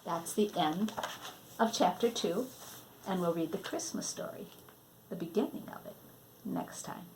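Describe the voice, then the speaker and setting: A woman reading a children's story aloud expressively, with an animated delivery, as if to listeners in front of her. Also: fairly low pitch, a little hiss or hum, low-quality recording. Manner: animated, addresses listeners, pausing to show pictures. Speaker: storybook reader.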